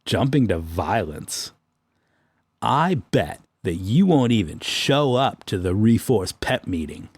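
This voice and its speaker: A gloating, pompous superhero sergeant played by a man in a comic audio drama. He taunts slowly and theatrically, with big, animated swoops of pitch. The recording is close and dry. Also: breathy edge, dry recording, heavily acted. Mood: smug, challenging.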